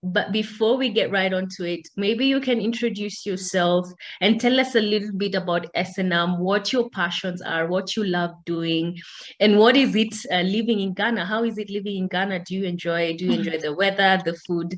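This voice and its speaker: A woman's voice: mid-range voice, normal speed. A woman hosting an interview show, chatting casually and affirming her guest often over a video call. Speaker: podcast host.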